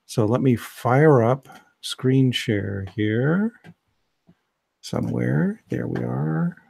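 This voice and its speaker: An American man giving a relaxed, digressive science lecture on a video call, with many fillers and restarts. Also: mid-range voice, very slow, gravelly, slightly rough. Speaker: host, biology lecturer.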